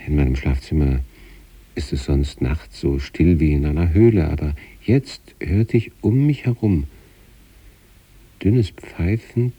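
Introspective patient, very low pitch, dark velvety tone, measured literary radio narration, deliberate phrasing. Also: male voice, slightly rough, heavily acted. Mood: wondering, uneasy. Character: introspective sanatorium patient recounting eerie out-of-body experiences.

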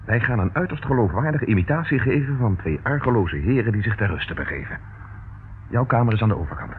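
An actor playing a shrewd, decisive detective who calmly takes command in a radio drama. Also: male voice, fairly low pitch, brisk, lightly acted. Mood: cool, commanding. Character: shrewd, cool-headed male detective taking command in danger. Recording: old lo-fi radio recording, faint noise.

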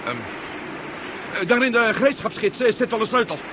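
Dull, noisy radio play: cocky male pilot, high voice, brisk and practical. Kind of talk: 1970s Dutch radio drama acting.

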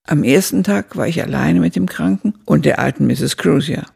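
An actress playing an elderly woman who looks back on her youth in a radio play, husky and gravelly, with a measured, reflective delivery. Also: deep voice, mellow, exaggerated voice. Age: older. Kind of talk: scripted radio drama narration.

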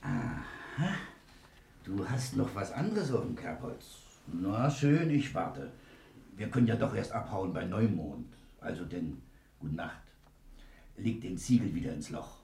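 Gravelly, very deep-voiced burglar. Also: male voice, sing-song, a little slow, a little mellow, exaggerated voice. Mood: impatient. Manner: conspiratorial, blunt, urging. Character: gruff, impatient burglar plotting a jailbreak. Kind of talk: 1960s German radio crime drama acting. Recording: echoey, distant from the mic.

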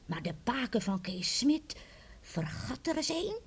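A high, animated superstitious grandmother. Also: unhurried, slightly rough, full character voice. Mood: eerie, confiding. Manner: animated, chuckling, dropping to hushed suspense. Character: lively superstitious grandmother telling eerie witch tales. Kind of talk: radio drama acting, storytelling.

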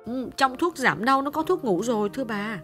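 A man's voice: extremely high pitch, lively intonation, normal speed, fairly bright tone, soft background music, pitched above the performer's own voice. A man voicing a polite young female nurse who hesitates with fillers. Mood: hesitant.

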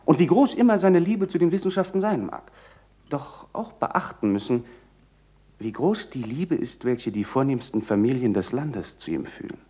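Husky, haughty young nobleman. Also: mid-range voice, very animated, warm tone. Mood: measured warning. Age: young adult. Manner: formal, measured, lecturing. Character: haughty young aristocratic landowner, pious, condescending. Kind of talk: German radio play acting.

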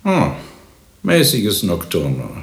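An actor playing a cultured, world-weary pathologist in a German radio play, speaking with deliberate, dry irony. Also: male voice, deep voice, huge pitch swings, creaky, exaggerated voice. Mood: dry, sardonic. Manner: deliberate, dryly ironic. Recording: echoey, reverberant room.

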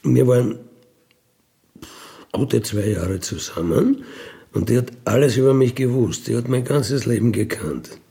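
An older man with an Austrian accent telling a reflective personal story in a halting, colloquial way with little questioning tags. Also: medium pitch, animated, vocal fry, dark tone. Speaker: former prisoner telling their life story. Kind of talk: reflective autobiographical monologue.

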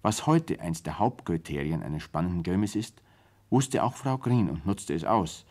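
A man, a radio play director, introducing a drama in a measured, explanatory, formal 1970s radio style. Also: low-pitched, very animated, a little mellow.

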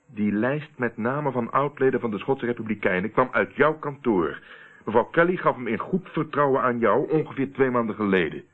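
An actor playing a steady, probing man who confronts a murderer in a radio play, speaking in a deliberate, controlled, accusing way. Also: medium pitch, close to an everyday voice. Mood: hard, confident. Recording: tinny, narrow-band old radio recording.

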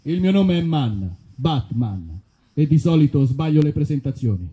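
A man playing a hapless Batman in a deadpan, self-mocking comic monologue. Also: on the high side, moderate pace, natural voice.

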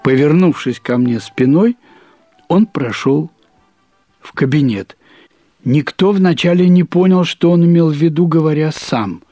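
A man with a husky voice narrates a short story. He takes his time, pausing and leaning on repeated phrases with deliberate emphasis. The recording is dry and close, with music behind him. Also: a little high, warm tone, dry recording. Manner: measured, deliberate, with pauses and emphatic repetition of phrases.

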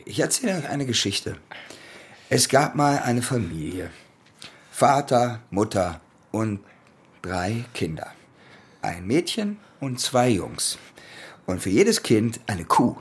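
An actor playing a menacing young soldier and domineering elder brother in a German radio play, with a commanding, taunting way of talking. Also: male voice, medium pitch, big pitch swings, slow pace, pauses often, breathy edge, full character voice. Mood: grim storytelling.

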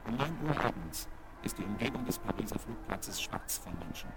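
A man's voice: on the low side, lively intonation, moderate pace, poor audio. A man narrating a German children's audio drama in a scripted, dramatic documentary style. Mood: urgent, dramatic. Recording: vinyl rip with loud background noise.

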